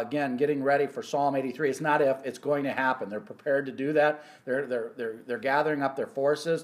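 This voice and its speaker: Middle-aged male ministry host; earnest, halting delivery with many fillers; prophecy teaching, close phone mic indoors. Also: mid-range voice. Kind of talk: weekly Bible prophecy teaching. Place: indoor office or home room.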